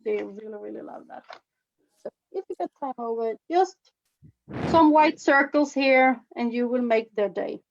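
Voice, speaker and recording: A woman from Sweden with a Swedish accent, giving an informal demonstration as a face painting instructor, with halting phrasing. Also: a little high, gravelly. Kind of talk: informal live demonstration with commentary. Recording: slightly dull, lo-fi webinar audio.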